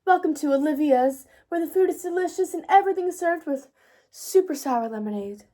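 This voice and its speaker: Lady doing a bossy theatrical little girl from a storybook, high voice, super bouncy. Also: lively intonation, brisk, dark tone. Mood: welcoming. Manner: emphatic, showy, sing-song announcing. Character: confident, bossy, theatrical young girl running a lemonade stand. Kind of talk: children's storybook read-aloud.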